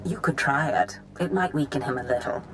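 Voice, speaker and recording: An actress playing a scheming, theatrical female dark-side master in a video game, knowing and conspiratorial. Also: very deep voice, a little fast, noisy background. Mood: cool scheming. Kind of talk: dramatic video game acting.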